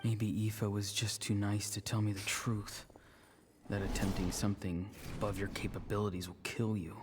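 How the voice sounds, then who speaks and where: An actor playing an earnest, self-doubting but stubborn young would-be warrior in a video game, brooding in manner. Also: male voice, on the low side, flat delivery, moderate pace, background noise, close to an everyday voice. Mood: brooding, downcast.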